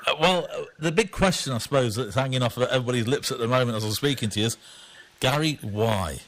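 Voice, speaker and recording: A man's voice: mid-range voice, fast, fairly bright tone. A man hosts a radio talk show and puts questions to his guest in an easy, casual way. He often hesitates with short fillers before he gets to the point. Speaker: radio host.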